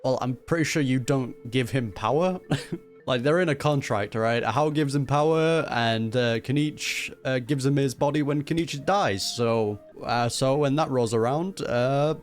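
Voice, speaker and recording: A male gaming streamer reacting casually as he plays. His voice is medium in pitch and his manner is joking and offhand. Faint music runs underneath. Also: moderate pace. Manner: joking, chuckles often.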